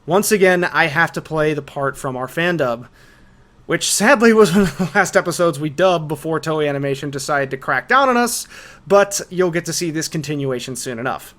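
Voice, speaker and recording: A man's voice: fairly high pitch, animated, moderate pace, a bit bright. A man giving scripted, emphatic storytelling narration as the narrator of an analytical video essay. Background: background music.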